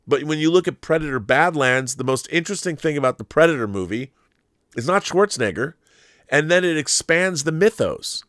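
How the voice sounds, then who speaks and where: A man's voice: on the high side, animated, brisk, fairly bright tone, no echo, studio quality. A man hosting a commentary show, talking through articles and his opinions on pop culture.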